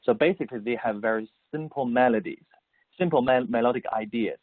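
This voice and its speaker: A man from Beijing whose first language is Mandarin, speaking English with a Mandarin Chinese accent as an interview guest. He answers haltingly and repeats words as he searches for phrasing. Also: mid-range voice, no room sound. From Beijing, China. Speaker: guest composer and musician. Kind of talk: interview answers over the phone. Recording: tinny dry phone line.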